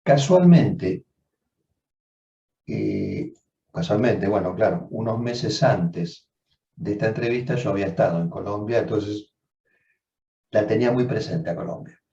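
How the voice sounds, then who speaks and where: A man, a psychoanalyst, giving a measured, reflective seminar lecture, often rephrasing as he goes. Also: on the low side, big pitch swings, creaky, mellow. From Argentina. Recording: dull, roomy sound from far off.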